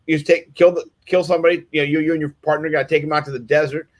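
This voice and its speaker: A man's voice: on the high side, level tone, rapid-fire. A man co-hosting a casual sports podcast, jokey, often stammering and restarting. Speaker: podcast co-host.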